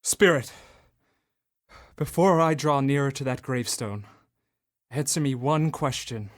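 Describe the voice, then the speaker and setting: A man's voice: medium pitch, unhurried, close to an everyday voice. A young man playing a remorseful old miser who pleads with a spirit in an anguished voice. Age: young adult. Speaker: Ebenezer Scrooge. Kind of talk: student radio drama.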